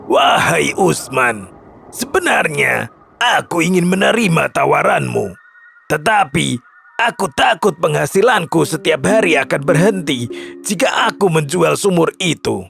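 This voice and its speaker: Greedy cartoon well owner, dismissive and scheming, raspy, forceful tone over prominent music. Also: male voice, a little high, very animated, measured pace, exaggerated voice. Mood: hesitant. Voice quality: raspy, gravelly. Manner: brash, dismissive, exaggerated. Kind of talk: animated children's story acting.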